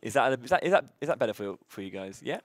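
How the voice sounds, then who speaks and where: Male host, mid-range pitch, loud and chatty, upbeat live-event patter. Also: expressive, fast. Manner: upbeat, chatty, many fillers and restarts. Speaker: charity marathon host.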